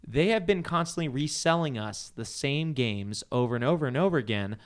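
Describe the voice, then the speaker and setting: A man's voice: mid-range voice, lively intonation, brisk, clean tone. A man hosting a casual panel chat about video games. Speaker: podcast host.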